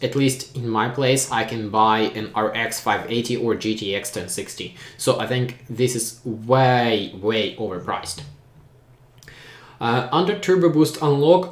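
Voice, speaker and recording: A man whose first language is Ukrainian answers viewer questions in a plain, matter-of-fact way and often pauses with "uh". His voice sits at an ordinary middle pitch, and it rings a little in an echoey room. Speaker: tech channel host. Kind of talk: live Q&A reading viewer questions.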